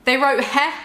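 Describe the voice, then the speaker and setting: A woman reads aloud with mock drama and keeps cutting in with sarcastic asides. Her voice is bright and sharp. The sound carries a noticeable roomy echo. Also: high-pitched. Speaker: YouTuber reading and mocking a book. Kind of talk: dramatic reading with sarcastic commentary.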